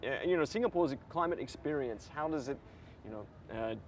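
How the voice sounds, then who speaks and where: A man's voice: on the high side, lively intonation, fairly quick, a little mellow, slight background noise. A man interviewing a guest as a news reporter, asking conversational, probing questions. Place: outdoors at a summit venue.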